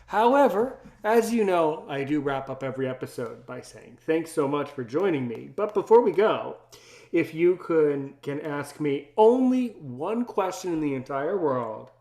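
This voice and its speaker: A man's voice: a little high, darkish tone. A man hosting a podcast, chatting casually and teasingly with his guest and often repeating his own phrases. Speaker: podcast host. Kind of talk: casual interview chat.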